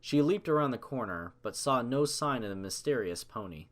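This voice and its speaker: A man's voice: medium pitch, animated, normal speed. A man narrating a scripted story as an audiobook reader.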